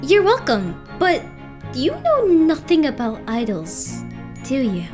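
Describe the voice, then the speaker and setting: A woman acting an excitable, cheeky teenage boy idol in an anime-style dub, dramatic and exclamatory. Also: high-pitched, takes it slowly, faint music behind, heavily acted. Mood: scolding, puzzled.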